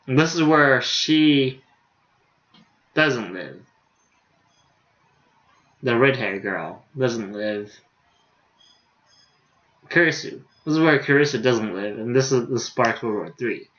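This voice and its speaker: A man livestreaming a visual novel, reading the dialogue aloud haltingly with stumbles and self-corrections, and adding casual commentary. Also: mid-range voice, expressive, a bit bright, highs cut off.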